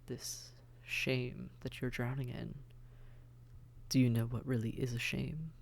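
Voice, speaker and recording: A man playing a suave, seductive demon prince in an intimate audio drama, breathy and often near a whisper, teasing and coaxing in formal wording. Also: medium pitch, darkish tone.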